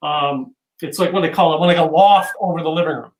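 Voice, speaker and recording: A man's voice: fairly high pitch, fairly quick, a little hiss or hum, poor audio. Rambling, joking male host. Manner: rambling, joking, many fillers. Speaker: show host. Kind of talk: casual video-call interview. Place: loft room at home.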